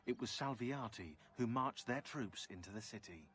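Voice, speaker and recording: A man plays a grave narrator in a video game, delivering scripted dramatic narration. His pitch is average for a man. A faint bed of ambience lies underneath. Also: expressive, normal speed, a bit bright, slight background noise, lightly acted. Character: grave male narrator recounting a villain's crimes.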